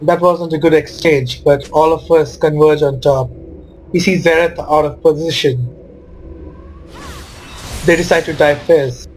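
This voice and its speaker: Male gamer, slightly high voice, casual match commentary, some background noise. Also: steady tone, unhurried, pauses often, rough recording.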